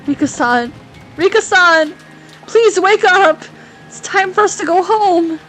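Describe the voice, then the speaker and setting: A woman's voice: very high voice, slow pace, fairly bright tone, soft background music, exaggerated voice, low-quality recording. A woman voicing a grief-crazed teenage girl, shrieking and sobbing in repetitions. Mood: desperate grief.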